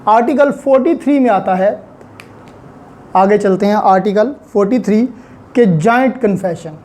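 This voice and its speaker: A man's voice: high-pitched, normal speed, a little mellow, slight background noise. A man with a Pakistani accent giving an explanatory law lecture, didactic, restating his points and mixing in English terms.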